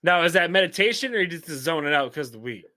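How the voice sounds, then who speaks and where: A man's voice: on the high side, expressive, quick pace, pushed and bright, no echo. A man hosting a podcast, asking curious, chatty questions and laughing easily. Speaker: podcast host. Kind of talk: casual interview.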